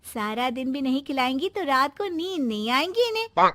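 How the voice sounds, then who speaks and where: Lady doing a young bahu mom from a cartoon story, emotional, really high voice, dry sound. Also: big pitch swings, normal speed, fairly bright tone, dry recording. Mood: earnest, defending. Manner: pleading, earnest, dramatic. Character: Sunita, devoted, emotional young mother and daughter-in-law. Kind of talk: Hindi moral-story cartoon voice acting.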